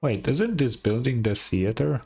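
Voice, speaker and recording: A man's voice: mid-range voice, big pitch swings, unhurried, vocal fry, warm tone, husky. A young man giving casual, blunt and sarcastic reaction commentary as a game streamer, swearing freely.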